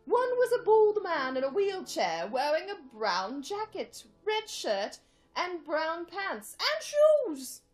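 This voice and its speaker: A woman's voice: very high voice, very animated, slow, pitched above the performer's own voice, full character voice. A woman putting on the voice of a prim elderly British lady to read a story aloud, with a put-on British accent and a theatrical manner. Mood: storytelling. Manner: theatrical, giggling.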